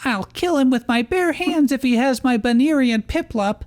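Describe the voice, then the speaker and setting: Man voicing a young female trainer, high pitch, cocky, indignant, snarling, storybook-style fan fiction reading. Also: animated, normal speed, darkish tone, no room sound. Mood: furious. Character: cocky, hot-headed young woman Pokemon trainer.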